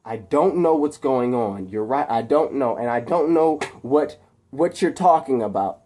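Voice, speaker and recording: A man's voice: medium pitch, expressive, a little slow, darkish tone. Man acting out an uneasy young captive hero. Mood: freaked out. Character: confused, uneasy young male superhero held captive.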